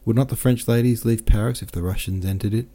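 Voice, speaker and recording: A man voicing a reserved, thoughtful young Russian man in a quiet, restrained way. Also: fairly low pitch, quick pace, pitched above the performer's own voice.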